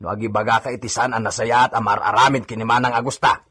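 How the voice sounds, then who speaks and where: A man's voice: medium pitch, fairly even intonation, quick pace, bright, forceful tone, breathy edge. A man playing a cautious household servant in a radio drama, warning and admonishing with an Ilocano accent. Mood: scolding, warning. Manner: admonishing, wary.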